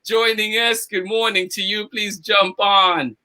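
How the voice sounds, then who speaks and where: Man, high-pitched, very bright forceful voice, effusive livestream host, greeting audience by name. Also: little pitch movement, measured pace. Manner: exuberant, effusive. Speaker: host of a children's story time livestream. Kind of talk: live greetings to viewers by name.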